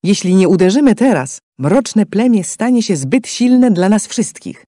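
A man with a fairly high, mellow voice narrates a game's story. The delivery is solemn and declamatory, scripted storytelling in a dry, close recording. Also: big pitch swings, unhurried, dry recording.

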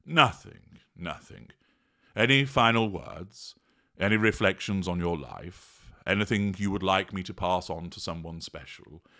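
A man's voice: deep voice, bright, forceful tone, exaggerated voice. A man voicing Death, a weary grim reaper. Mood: solemn. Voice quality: formal, measured, drily exasperated.

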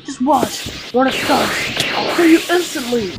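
A woman playing a determined, battle-hungry anime fighter in an amateur game roleplay, with halting, improvised delivery. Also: high voice, expressive, over loud music. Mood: defiant, combative.

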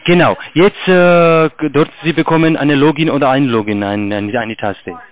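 A man with a foreign accent, not a native German speaker, giving halting phone instructions in broken German as a call-centre agent. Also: medium pitch. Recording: narrow, tinny phone line.

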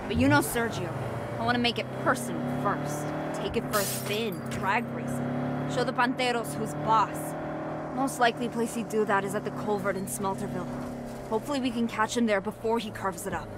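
A woman's voice: mid-range voice, a little fast, lightly acted. An actress playing a fierce, wronged young woman in a video game, blunt and hard-edged as she talks. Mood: grim, determined. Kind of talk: acted game dialogue. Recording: loud car and street noise behind.

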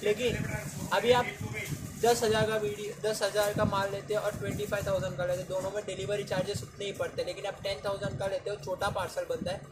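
A man's voice: high-pitched, level tone, quick pace, background noise. A young man vlogging casually from a small textile shop, showing sarees to viewers in a chatty, joking way. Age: young adult. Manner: chatty, jokey, addresses viewers as guys. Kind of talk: casual product showcase.

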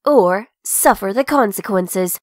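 A woman's voice: medium pitch, animated, takes it slowly, no room sound, clean studio sound. A woman playing a haughty, ruthless young female revolutionary in a comic dub, speaking with zeal. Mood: zealous. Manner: haughty, zealous. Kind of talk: comic dub character acting.

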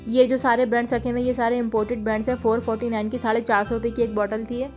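Pakistani Urdu-accented woman, fairly high voice, level delivery, soft music under the voice-over. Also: racing. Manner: steady, listing prices in a level, informative tone. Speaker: shopping vlogger. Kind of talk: vlog voice-over. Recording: voice-over with faint music behind.

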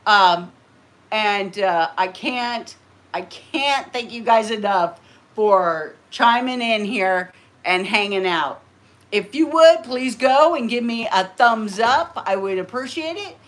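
A woman's voice: a little high, a bit bright. A middle-aged woman hosting a casual livestream from her living room, chatty and rambling, often laughing. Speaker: livestream host. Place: living room, green party decorations.

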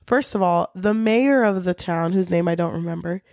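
A woman co-hosting a podcast, chatting casually about books in a playful, sweary way with animated retellings. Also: lowish voice, normal speed, no echo, clean studio sound. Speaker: podcast co-host.

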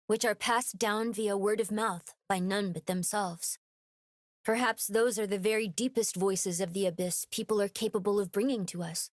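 A woman playing a gentle, earnest young robot boy in an anime game, acting and narrating. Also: medium pitch, dry recording, clean studio sound, lightly acted.

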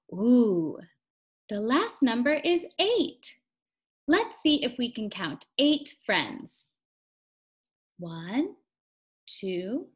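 A young woman with a fairly high, very lively voice leads a children's read-aloud. She speaks slowly and deliberately, with an encouraging sing-song teacher's delivery aimed at little kids.

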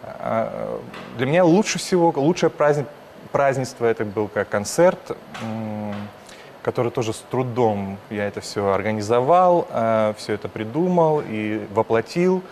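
A man in his thirties from Ukraine, a singer answering interview questions in a hesitant way, with pauses and restarts. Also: medium pitch, a little hiss or hum. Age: 30s. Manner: hesitant, soft-spoken, many pauses and restarts. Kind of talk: casual interview talk.

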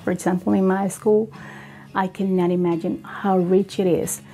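A woman with a Venezuelan accent, a native Spanish speaker, giving a personal testimonial in earnest, halting English. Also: mid-range voice, little pitch movement, mellow. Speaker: kindergarten Spanish teacher.

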